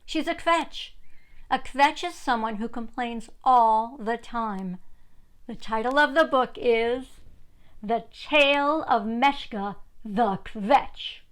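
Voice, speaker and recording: A woman narrating a children's storybook expressively, with deliberate dramatic emphasis. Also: a little high. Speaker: read-aloud storyteller for children.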